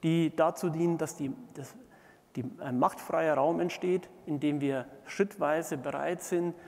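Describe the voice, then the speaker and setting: Creaky middle-aged man presenting in a hall. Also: a little high, steady tone, measured pace, darkish tone. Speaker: keynote speaker, management consultant. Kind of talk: conference keynote presentation. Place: large indoor conference hall, on stage before seated audience. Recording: reverberant conference hall.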